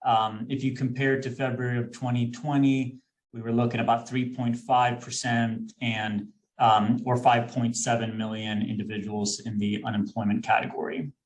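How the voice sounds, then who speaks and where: A man's voice: mid-range voice, level tone, moderate pace, poor audio. A man, an executive recruiter speaking as a webinar guest in a friendly, explanatory way with frequent fillers and restarts over a video call. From Sweden. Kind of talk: webinar presentation.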